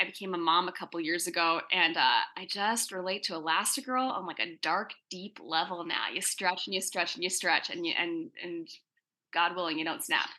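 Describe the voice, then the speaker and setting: A woman speaking as a creator on a casual panel over a video call, interrupting herself and repeating words for emphasis. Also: mid-range voice. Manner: animated wording, self-interrupting, emphatic repetition. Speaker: comic book creator and panel guest.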